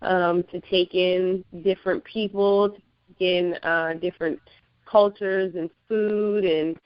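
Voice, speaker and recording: A woman from Miami, Florida, a magazine publisher, talks in a relaxed, easygoing way, laughing often and pausing with fillers. Her voice is smooth and clear. The recording is dry and a little dull. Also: lowish voice, no echo. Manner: laid-back, laughs often, hesitant with fillers. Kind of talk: interview answers.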